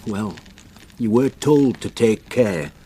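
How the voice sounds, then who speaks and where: Local man, gruff, plain-spoken, protective, reassuring, radio drama. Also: on the low side, expressive, slow pace, dark tone, slight background noise. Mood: gruff concern.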